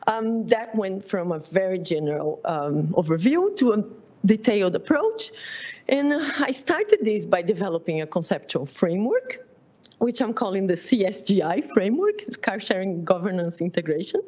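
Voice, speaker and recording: A woman with a Brazilian Portuguese accent presenting her PhD research at a seminar, often pausing on "um", correcting herself and laughing at herself. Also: mid-range voice, very animated, a little mellow, dull audio. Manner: frequent "um" fillers, self-correcting, laughs at herself.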